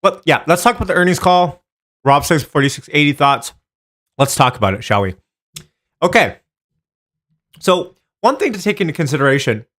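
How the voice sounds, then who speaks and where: A man's voice: on the high side, lively intonation, moderate pace, long pauses, fairly bright tone. Man hosting a casual live stream. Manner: informal, chatty, addresses viewers by name. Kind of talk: casual live stream chat and stock options commentary.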